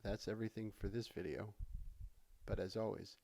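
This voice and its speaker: Low, creaky-voiced man narrating a tutorial. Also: steady tone, moderate pace, mellow. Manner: conversational, explaining step by step. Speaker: software tutorial instructor. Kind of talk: casual instructional screencast narration. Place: voice-over for a screen recording.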